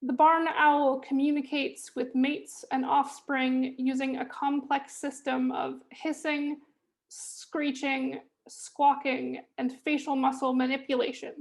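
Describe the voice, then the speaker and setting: A woman who stutters, reading her own spoken word poem in a measured, rhythmic way over a video call. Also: high-pitched, level tone, creaky. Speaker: poet reading her chapter.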